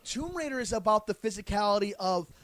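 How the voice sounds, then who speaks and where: A man's voice: high voice, lively intonation, a little fast, a bit bright, no echo. A man co-hosting a podcast, arguing his points in casual chat, emphatic and often restarting his sentences. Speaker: podcast co-host.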